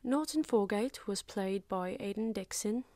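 A woman's voice: mid-range voice, expressive, a little slow, darkish tone, dry recording, studio quality. A woman reading scripted closing credits for an audio drama.

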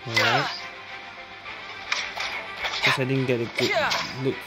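A woman's voice: a bass voice, wildly swooping pitch, slow. A woman giving casual gameplay commentary, musing aloud in hesitant phrases. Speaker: gaming streamer. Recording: game music behind commentary.

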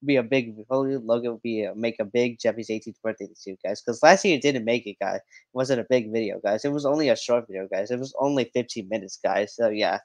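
A man's voice: medium pitch, fast talker, few pauses, no room sound, rough recording. A young man giving casual reaction commentary into a headset, repetitive and often addressing his viewers. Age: young adult. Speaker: reaction streamer. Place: dark room indoors.

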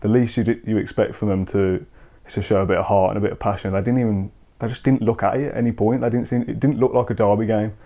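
A man's voice: fairly low pitch, steady tone, fast, dark tone. A young man, talking through a football match in casual chat on a video call. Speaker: football podcast co-host. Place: home room, indoors. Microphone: video call with earphone mic.

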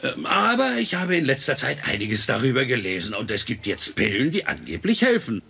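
Casting: husky, appeasing sitcom husband, hesitant yet lively in pitch. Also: male voice, fairly quick, heavily acted. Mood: cautious, soothing. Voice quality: gravelly, husky. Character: cautious, placating working-class husband. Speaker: voice actor in a German sitcom dub. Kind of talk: sitcom dub acting.

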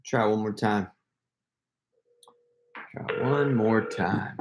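Slow-paced American man, casual and halting. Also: lowish voice, very noisy. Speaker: prank caller and video host. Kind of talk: casual chat to camera. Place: home room with wood shelves, indoors. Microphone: desk camera at arm's length.

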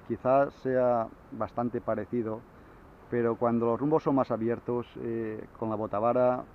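A man explaining kayak sailing in a relaxed, casual way, with fillers, speaking from a kayak at sea into an action camera. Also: medium pitch. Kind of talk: casual how-to explanation on the water. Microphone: action camera mounted on the kayak. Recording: dull lo-fi camera audio, faint wind.

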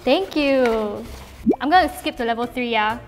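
A woman's voice: fairly high pitch, moderate pace. A woman of twenty-two chatting in a bubbly, teasing way with frequent laughs, on a lapel mic. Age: 20s. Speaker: blind date contestant. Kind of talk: casual chat. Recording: faint music and long reverb.

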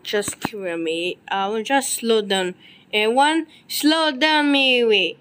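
A halting, exclamatory voice doing amateur character dubbing for a homemade animation, on a very noisy homemade recording. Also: medium pitch, a bit bright.

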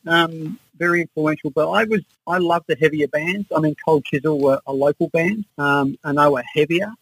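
A man's voice: fairly high pitch, normal speed, a bit bright, husky, no echo, rough recording. An Australian man in his sixties reminiscing casually as an interview guest. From Adelaide, Australia. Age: 60s. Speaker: interview guest, musician and researcher.

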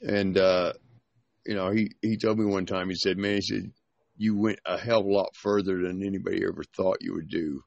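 A man's voice: low-pitched, steady tone, brisk, vocal fry, darkish tone, no echo, highs cut off. A man from Georgia telling plain stories in casual chat.

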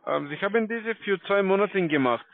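A man with a foreign accent, a non-native speaker giving a halting, scripted sales pitch. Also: animated, gravelly, dry recording. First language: not German. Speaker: call-centre salesman. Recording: dry, tinny phone line.

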